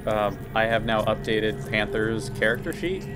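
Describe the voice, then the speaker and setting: A man's voice: fairly low pitch, normal speed, heavy background noise. A man playing a tabletop game, chatting casually and explaining his character choices in a rambling way with restarts. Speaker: player.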